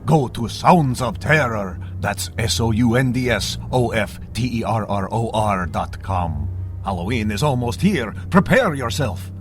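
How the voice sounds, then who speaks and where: A man's voice: medium pitch, lively intonation, a little slow, faint music behind. A man reading a scripted, spooky-themed radio commercial as an announcer. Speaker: advertisement announcer.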